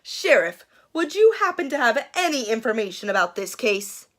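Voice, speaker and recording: A woman voicing a child's mom and dad in a storybook, gently probing in a pointed, knowing way. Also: fairly high pitch, very animated, normal speed. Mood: knowing, teasing.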